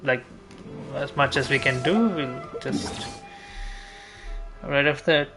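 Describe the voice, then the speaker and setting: A man giving casual, unhurried gameplay commentary, thinking aloud as he goes. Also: mid-range voice. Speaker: game streamer. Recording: game music behind voice. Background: video game music.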